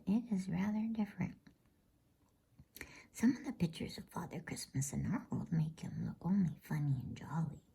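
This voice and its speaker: A woman reading a bedtime story as ASMR in a soft, unhurried, breathy, intimate whisper. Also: sits low.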